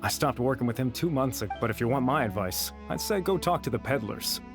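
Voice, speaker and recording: A man's voice: mid-range voice, expressive, quick pace, natural voice. A man as a blunt, disgruntled researcher over music. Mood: grudgingly helpful. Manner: blunt, grudging. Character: gruff, guarded, disgruntled male researcher. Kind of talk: video game character dialogue. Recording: music behind the voice.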